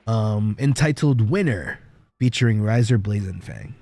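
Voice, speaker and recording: Man, low-pitched and animated, husky, playful streamer chat and story reading, music in the background. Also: measured pace, dry recording. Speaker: livestreamer, virtual streamer. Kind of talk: casual livestream chat and fan fiction reading.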